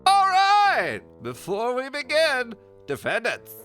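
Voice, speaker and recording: Theatrical high judge: bright, booming, easily baffled, hugely animated pitch. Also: male voice, slow, soft background music, full character voice. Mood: grandly jovial.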